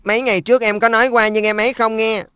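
A woman's voice: fairly high pitch, flat delivery, fast, a bit bright, clean tone, dry recording, close to an everyday voice. A woman voicing a caring, earnest older brother who coaxes his little brother in a cartoon dub. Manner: earnest, coaxing.

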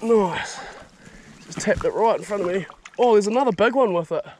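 A young man with a New Zealand accent giving excited, slangy running commentary while fishing outdoors at a pond, recorded on an action camera. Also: sits high, moderate pace, a little hiss or hum. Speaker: fishing vlogger. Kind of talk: casual outdoor vlog commentary. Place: outdoors by a high country pond. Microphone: action camera mounted on the body.

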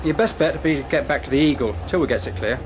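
An actor playing a stolid, deferential village constable, hesitant and folksy. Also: male voice, a little high, quick pace, natural voice. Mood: stolid, apologetic. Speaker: actor in a radio drama. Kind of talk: British radio drama acting. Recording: noisy roadside with vehicles.

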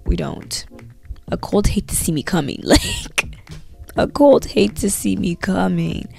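A young woman with a cold chatting casually to camera about her faith, talking lively with her hands into a handheld mic in a small bedroom at night. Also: medium pitch, measured pace, vocal fry, soft background music. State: has a cold.

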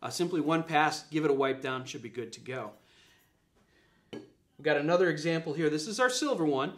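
A man's voice: fairly high pitch, animated, normal speed, fairly bright tone. Upbeat man, salesy demo. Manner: upbeat salesy, filler-heavy, repeats points. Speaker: product presenter. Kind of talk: live product demo. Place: office room with a display table.

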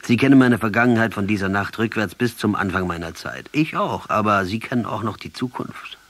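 A man's voice: fairly low pitch, brisk, fairly bright tone, dry recording. A man acting in a radio drama as a defiant guerrilla revolutionary in his late thirties, sardonic and rhetorical. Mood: probing, wry. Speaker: radio play actor.

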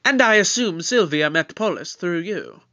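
Guy playing eccentric bossy doctor detective in audio drama, high bright voice, formal and theatrical. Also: lively intonation, moderate pace, exaggerated voice. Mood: probing. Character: eccentric, commanding alien Doctor leading a murder inquiry. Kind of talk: scripted audio drama acting.

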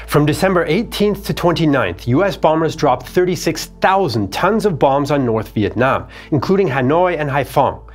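Male history host, 30s, expressive scripted read; light music bed under the voice. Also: a little high. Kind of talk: scripted documentary narration. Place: dark studio set.

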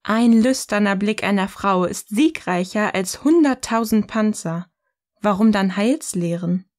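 An actress plays a self-assured, provocative woman in a philosophical audio drama. Her mid-pitched voice is poised and deliberate, delivering each line as a declaration. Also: darkish tone, close to an everyday voice. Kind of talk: scripted audio-novel dialogue.

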